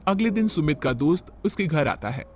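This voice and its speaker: A man's voice: a little high, expressive, a little fast. Male story narrator over music.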